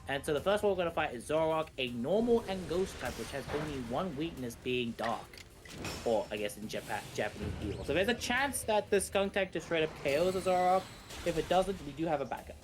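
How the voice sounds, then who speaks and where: A man's voice: a little high, animated, fairly quick, over music. A man giving casual, jokey live commentary on a speedrun, often repeating and rephrasing himself.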